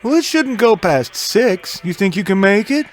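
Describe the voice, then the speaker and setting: Friendly male game-store owner played by an actor, high register, very animated, audio drama. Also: normal speed, faint music behind. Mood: helpful, easygoing. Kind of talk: audio drama character dialogue.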